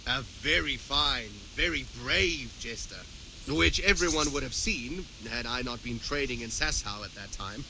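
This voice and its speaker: An actor playing a boastful, flirtatious medieval rogue in a video game, teasing and playful. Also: male voice, mid-range voice, a little slow, sharp tone, a little hiss or hum, poor audio. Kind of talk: acted game dialogue.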